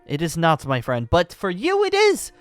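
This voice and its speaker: Flamboyant, swooping dragon-slayer wizard. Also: male voice, high-pitched, huge pitch swings, normal speed, soft background music. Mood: showy, explaining. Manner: theatrical, flamboyant, cackling, pompous. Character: exuberant, boastful male celestial dragon-slayer wizard, grandly genteel. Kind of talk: improvised Minecraft fantasy roleplay acting.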